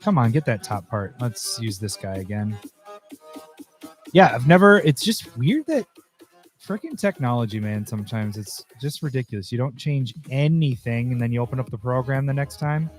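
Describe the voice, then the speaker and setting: A slightly hoarse man, losing his voice, talking casually through a livestream as host while he edits, thinking aloud and getting easily annoyed. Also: medium pitch, big pitch swings, moderate pace. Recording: faint music behind voice.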